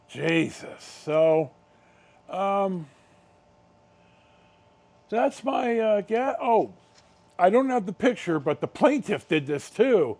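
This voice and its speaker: A middle-aged American man hosting a casual storytime, rambling along in halting, unhurried, drawn-out phrases. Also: high voice, mellow. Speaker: video host.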